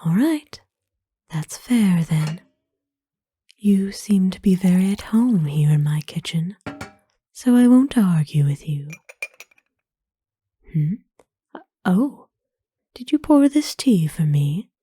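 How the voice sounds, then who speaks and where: Casting: woman as ancient vampire noblewoman for ASMR roleplay, very dark soft tone, breathy whisper, kind and formal, unhurried. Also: lowish voice, animated, no room sound, exaggerated voice. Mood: surprised, hesitant. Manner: formal, slow, tender, hesitant pauses. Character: kind, courtly centuries-old female vampire owner, gently doting. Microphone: close dry microphone.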